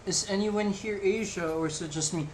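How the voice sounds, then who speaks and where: A man's voice: high voice, moderate pace, slight background noise, rough recording. A young man from the Philippines chatting casually with viewers while he draws live, rambling with lots of fillers. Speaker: live-drawing streamer. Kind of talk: casual live-stream chat while drawing.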